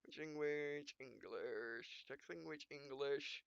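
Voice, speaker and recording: A man's voice: fairly high pitch, takes it slowly, creaky, no echo, highs cut off. A man playing a visual novel, reading its text and punctuation aloud in a mock-dramatic way between casual remarks. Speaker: let's play gamer.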